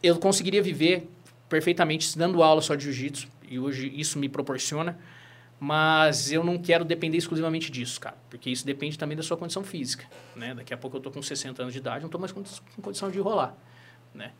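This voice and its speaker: A man's voice: fairly high pitch, fast. A Brazilian man in his thirties, a lawyer and jiu-jitsu teacher, chatting as a guest and telling stories with constant tag questions. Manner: animated storyteller, frequent "né?" tags. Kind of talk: casual interview chat.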